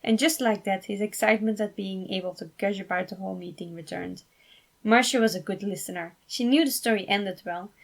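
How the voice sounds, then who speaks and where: A woman narrating a fan fiction story in an expressive amateur reading style. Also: medium pitch, gravelly.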